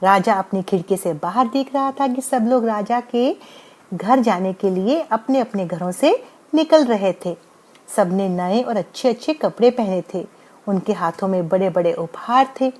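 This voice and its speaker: A woman's voice: medium pitch, lively intonation, unhurried, a little mellow. A woman narrating a scripted bedtime moral story as the storyteller.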